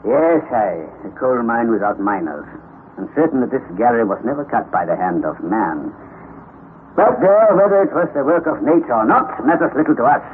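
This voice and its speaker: A man playing a determined, learned older uncle who leads an expedition in an old radio drama, with a brisk, authoritative manner. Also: mid-range voice, sing-song, natural voice. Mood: resolute. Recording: tinny, noisy old radio recording.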